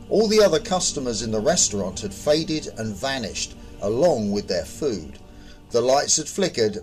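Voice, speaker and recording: A man's voice: mid-range voice, poor audio. A man narrating his own short horror story as a scripted reading.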